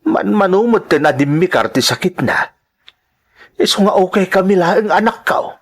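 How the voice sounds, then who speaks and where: A man with an Ilocano accent playing a gentle, caring older father in an audio drama, soothing and measured. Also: sits high, expressive. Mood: caring, concerned.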